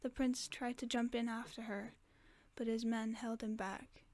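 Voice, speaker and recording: A woman reading a fairy tale aloud as a sleep aid, in a soft, mostly unvoiced whisper close to the microphone. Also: a little high, level tone, measured pace. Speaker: ASMR storyteller. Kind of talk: whispered ASMR bedtime story reading.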